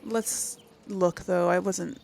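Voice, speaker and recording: A woman's voice: fairly low pitch, steady tone, slow, creaky, darkish tone, a little hiss or hum. A woman giving casual gameplay commentary, thinking aloud and murmuring to herself as she reads things out. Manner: murmurs to self, reads item text aloud. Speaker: gaming video host.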